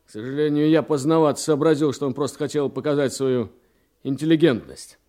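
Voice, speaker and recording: Dark-toned, methodical male investigator actor. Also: fairly high pitch, close to an everyday voice. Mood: confident, explanatory. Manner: measured, explanatory. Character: male investigator, calm, methodical, quietly confident. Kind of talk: Russian radio drama acting.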